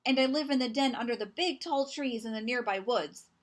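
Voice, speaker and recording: A woman's voice: on the high side, a little fast, natural voice. A woman voicing a playful but timid little male fox in a children's storybook, eager and pleading. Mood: earnest, hopeful.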